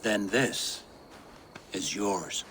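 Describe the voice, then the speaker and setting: Slow, solemn old village leader. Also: male voice, fairly low pitch, a bit bright, a little hiss or hum. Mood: tender, resigned. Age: older. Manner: slow, solemn, measured delivery. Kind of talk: dramatic video game acting.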